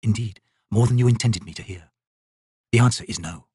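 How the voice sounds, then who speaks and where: Brief: narrator-voiced loyal companion, low firm tone, calm, dry close recording. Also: female voice, brisk, slightly rough, no echo, full character voice. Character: calm, steadfast woman companion, protective.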